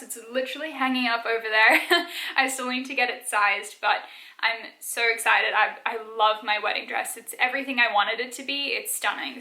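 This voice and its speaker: A woman's voice: on the high side, bright, forceful tone, reverberant. A woman in her twenties from South Africa, with an accent mixing South African, Australian and American, chatting casually through a Q&A vlog and filling it with "like.". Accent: mixed South African, Australian and American. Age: 20s. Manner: chatty, many "like" fillers, frequent light laughs. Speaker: vlogger.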